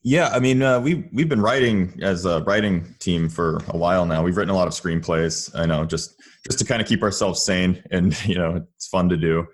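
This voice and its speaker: Male podcast guest, low register, casual and jokey delivery. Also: moderate pace. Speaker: podcast guest, comedy writer. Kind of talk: casual interview chat.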